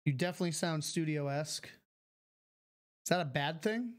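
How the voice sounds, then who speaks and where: A man's voice: on the high side, a little slow. Chatty man streaming a game. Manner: chatty, reads chat aloud and answers it. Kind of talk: casual live game stream chat. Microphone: studio mic with filters.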